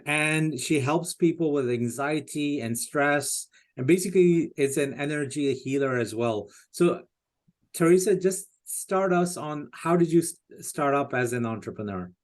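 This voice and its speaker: A man hosting a podcast interview. He introduces his guest and asks questions, often pausing, fixing his wording and filling the gaps with ums. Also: on the high side. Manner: hesitant, self-correcting with fillers. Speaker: podcast host.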